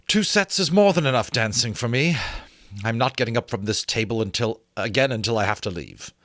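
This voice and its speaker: A man's voice: mid-range voice, lively intonation, fairly quick, fairly bright tone, no room sound, studio quality, close to an everyday voice. A man voicing a blunt, hearty, teasing woman captain in a storybook reading. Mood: brash, teasing.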